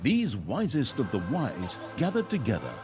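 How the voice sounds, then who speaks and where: A man's voice: mid-range voice, wildly swooping pitch, normal speed. A man narrating a comedic fantasy game story in a theatrical, mock-grand storyteller manner with a wry delivery. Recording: music under the voice. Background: music.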